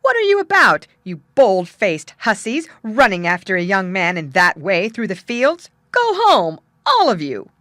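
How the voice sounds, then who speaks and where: A woman's voice: medium pitch, wildly swooping pitch, unhurried, few pauses, a bit bright, no echo, studio quality. A woman voicing a stern, indignant male village parson scolding in exclamations, for a storybook. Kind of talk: storybook character voice.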